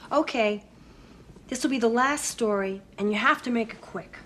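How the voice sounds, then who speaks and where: A middle-aged woman acting in a film as a polite, matter-of-fact suburban lady who calmly plans to cook a boy. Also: on the high side, moderate pace, close to an everyday voice. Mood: politely condescending. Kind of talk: film acting, understated menace. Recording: film soundtrack with faint noise.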